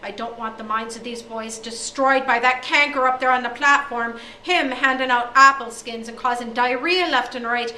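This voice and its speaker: A hot-tempered old schoolmaster bellows and hurls insults, as a woman reading aloud puts him on. The voice rings bright and high in an echoey room, picked up from across the space. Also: animated, moderate pace, recorded from a distance, a little hiss or hum. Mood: furious. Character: hot-tempered older male Irish schoolmaster.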